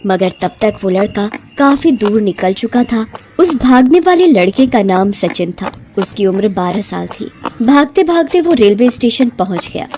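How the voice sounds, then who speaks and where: A woman narrating a scripted moral story in an expressive, dramatic storytelling manner. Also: medium pitch, background noise.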